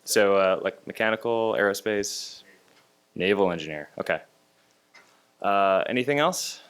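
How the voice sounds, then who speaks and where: Low-voiced man from Hawaii, hesitant. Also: measured pace, a bit bright, clean tone. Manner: hesitant, many filler ums and uhs. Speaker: tutorial instructor. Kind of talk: self-introduction and audience polling. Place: conference tutorial room.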